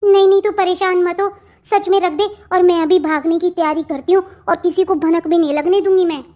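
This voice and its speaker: Woman playing a high-pitched restless lovesick young wife. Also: steady tone, fairly quick, a little mellow. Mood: eager, secretive. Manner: pleading, eager, dramatic. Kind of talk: melodramatic family cartoon dialogue.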